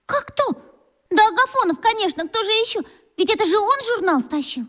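Chatty schoolgirl, very high voice. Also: lively intonation, brisk, pushed and bright, exaggerated voice. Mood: chatty, indignant. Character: chatty, gushing schoolgirl, sociable and opinionated. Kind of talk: children's radio play acting.

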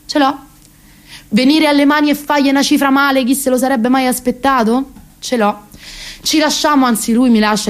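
A woman's voice: a little high, lightly acted. An actress around thirty with a Roman Italian accent, playing a neurotic, rambling, self-ironic woman in a comic radio monologue.

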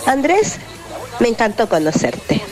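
A woman's voice: fairly high pitch, very animated, measured pace, a crowd in the background. A Chilean woman with a Chilean accent, sending a friendly recorded greeting as a radio listener. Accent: Chilean Spanish. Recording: voice message with loud music behind.

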